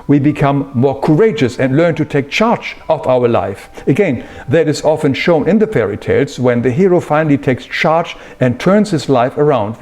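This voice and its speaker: A man giving an explanatory spiritual talk in an earnest, emphatic manner with measured phrasing. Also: medium pitch, a little mellow. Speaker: spiritual teacher.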